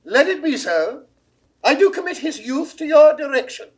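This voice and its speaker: A man's voice: extremely high pitch, big pitch swings, normal speed. A man acting a proud, uneasy English king in a classical Shakespeare audio drama, with stately, declamatory, theatrical delivery. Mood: regal, measured.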